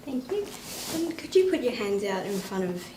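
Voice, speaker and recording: A woman's voice: medium pitch, big pitch swings, normal speed. A woman examining a patient, politely giving step-by-step instructions in short, courteous requests. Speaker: clinical examiner. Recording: echoey, distant mic, noisy background.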